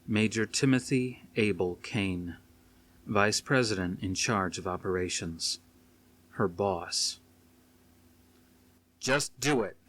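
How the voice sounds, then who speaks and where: Low-voiced man reading a dramatic story. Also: slow, a bit bright. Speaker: audiobook reader. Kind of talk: dramatic scripted narration. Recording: faint noise behind the reading.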